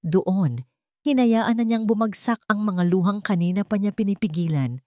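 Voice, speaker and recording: A woman's voice: medium pitch, moderate pace, a little mellow, no echo, studio quality. A woman reading a scripted romantic drama story as its narrator.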